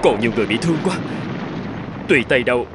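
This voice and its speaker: An actor playing an earnest, brave young soldier in a cartoon, with an urgent manner. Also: male voice, medium pitch, expressive, a little fast, heavy background noise, lightly acted. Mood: strained. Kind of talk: dubbed cartoon dialogue. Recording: loud music and battle sounds behind.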